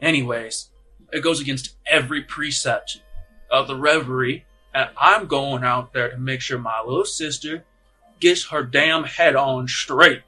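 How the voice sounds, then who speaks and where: Man acting a loud, gruff, tipsy laborer. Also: mid-range voice, unhurried, soft background music, low-quality recording. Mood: irritated, rambling. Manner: loud, rambling, irritable. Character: sweaty, drunk, hard-laboring man, gruff and exasperated.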